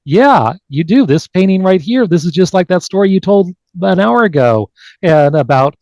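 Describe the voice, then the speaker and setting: A man's voice: high-pitched, lively intonation, moderate pace, a little mellow, no room sound. A man hosting a live online panel in a conversational way, speaking over a video call. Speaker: podcast host.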